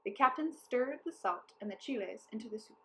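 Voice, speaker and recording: A woman narrates a children's story in a fairly high voice. Her read-aloud delivery is expressive and deliberate, suited to a storyteller. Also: a little hiss or hum.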